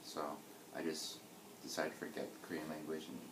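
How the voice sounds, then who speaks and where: A man in his twenties, from the United States, answering interview questions in a casual, reflective way with pauses and fillers. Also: a bass voice, monotone, roomy sound, background noise. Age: 20s. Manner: reflective, hesitant, with fillers and restarts.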